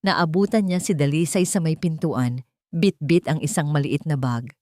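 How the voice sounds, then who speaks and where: A woman's voice: sits low, moderate pace, a little mellow, no echo, studio quality. A Filipino woman narrating a scripted romantic drama as a storyteller.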